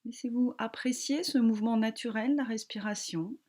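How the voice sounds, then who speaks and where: A woman yoga teacher guiding a relaxation meditation in a calm, gentle, soothing manner with long pauses. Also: a little high.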